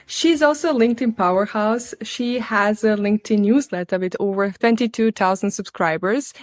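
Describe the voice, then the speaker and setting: A woman's voice: mid-range voice, no echo. A woman with a Croatian accent, a marketing coach hosting a podcast interview with an upbeat, promotional manner. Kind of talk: podcast interview, scripted intro.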